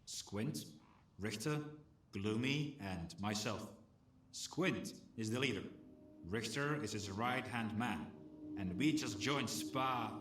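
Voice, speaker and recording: Casting: slangy, friendly wasteland scavenger for a game; hesitant, casual delivery, roomy sound with faint noise. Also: male voice, medium pitch, fairly bright tone. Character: chatty scavenger stalker man asking a stranger for help. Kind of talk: video game dialogue acting.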